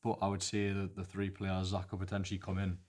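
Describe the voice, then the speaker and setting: A man with a Geordie accent co-hosts a football podcast, his voice hoarse and cracking from flu. He speaks very low and in a flat monotone, hesitating and restarting as he pushes through. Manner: halting, hesitant, restarts often. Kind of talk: casual football analysis chat.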